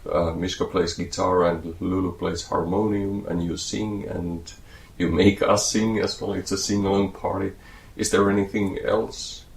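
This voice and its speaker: A man's voice: low-pitched, steady tone, a little slow, creaky, hardly any pauses. A man hosting an interview, asking questions in an easygoing, friendly way. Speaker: interviewer host. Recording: roomy echo.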